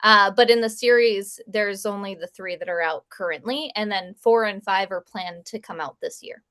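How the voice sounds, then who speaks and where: A woman talks as a guest in a casual interview. She tells stories in an animated way and laughs between phrases. Her voice sits in an ordinary middle pitch. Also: normal speed. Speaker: guest author and game designer.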